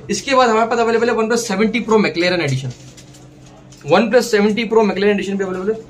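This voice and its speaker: A young man from Pakistan with a Karachi Urdu accent, presenting used phones and their prices in a brisk, repetitive sales patter, recorded with a lapel mic in a small shop room. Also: high-pitched. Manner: brisk repetitive price listing with English number words; gestures and holds up phones while talking. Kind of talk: sales pitch vlog.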